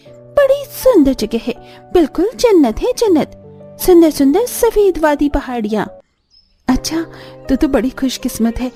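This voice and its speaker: A woman's voice: high voice, warm tone. A woman voicing bubbly young women in a cartoon story, gushing and exclaiming. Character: bubbly, boastful young newlywed village women on phone.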